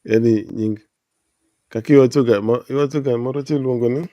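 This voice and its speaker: A man's voice: mid-range voice, steady tone, quick pace, dark tone, no echo, muffled recording. A man with a Kenyan accent, hosting a podcast in a casual, conversational style. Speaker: podcast host. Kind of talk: casual bilingual chat.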